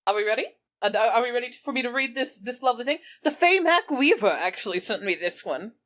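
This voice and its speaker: High-pitched woman reading and bantering. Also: lively intonation, fast talker, fairly bright tone. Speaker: book club podcast performer reading aloud. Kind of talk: improvised comedy chat with read-aloud passages.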